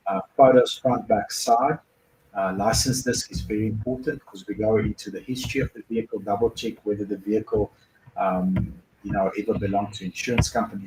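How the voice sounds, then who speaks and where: A man's voice: lowish voice, background noise. A man, originally from Bulgaria, hosting a car dealer's viewer Q&A and explaining answers step by step in casual advice talk. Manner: conversational, explains step by step with fillers. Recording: roomy echo with faint ambience.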